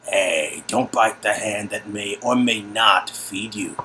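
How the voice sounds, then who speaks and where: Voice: low-pitched, pushed and bright, a little hiss or hum, full character voice. A game story reader voicing a mocking prison guard who drawls menacing taunts at a captive. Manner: mocking, drawn-out menacing delivery.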